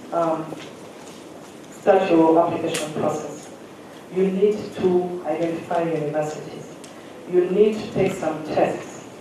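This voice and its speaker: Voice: medium pitch, dark tone. An education adviser gives direct, instructive advice to students, checking now and then that the audience is following. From Uganda. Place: large hall at a careers fair. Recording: echoey hall, distant mic, faint hum.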